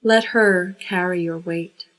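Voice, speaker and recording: A woman leading a guided yoga nidra relaxation, giving unhurried, measured instructions. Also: fairly low pitch, steady tone, fairly bright tone.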